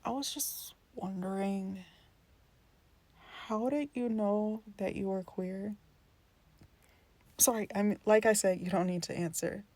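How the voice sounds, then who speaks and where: A woman's voice: mid-range voice, a little slow, pauses often, a little mellow, dry recording, close to an everyday voice. A woman playing an earnest, introspective young friend in naturalistic scripted dialogue, speaking tentatively and confidingly. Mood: hesitant.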